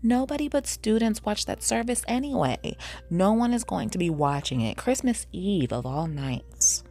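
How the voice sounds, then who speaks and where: Woman acting a chatty, nagging middle-aged mother, raised pitch. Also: lively intonation, normal speed, warm tone, faint music behind. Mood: disbelieving. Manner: blunt, insistent, motherly. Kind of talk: audiobook character voice.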